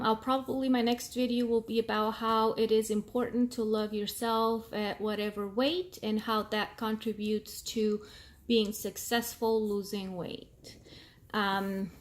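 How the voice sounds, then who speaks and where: A woman in her thirties whose first language is Portuguese, speaking English with an accent in a casual vlog, pausing often with ums as she searches for words. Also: a little high, steady tone, a bit bright. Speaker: vlogger. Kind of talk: casual talking-to-camera vlog.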